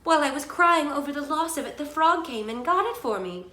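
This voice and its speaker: An anxious young storybook princess, acted by a woman reading aloud, high and lilting. The voice is earnest, plaintive and full of feeling. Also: animated, brisk, bright, forceful tone, echoey room. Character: emotional, anxious young princess in a fairy tale. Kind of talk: storybook character read aloud.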